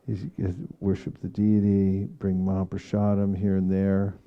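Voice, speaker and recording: A man speaking as a spiritual teacher in an informal devotional discussion, reflective and halting in his phrasing. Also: low-pitched, completely flat delivery, lo-fi sound. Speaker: spiritual teacher leading a scripture class.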